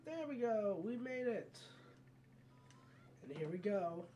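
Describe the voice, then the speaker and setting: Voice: medium pitch, animated, takes it slowly, warm tone, faint music behind. A video game let's player giving casual, rambling commentary and often correcting themselves mid-sentence. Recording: mic at a distance, background noise.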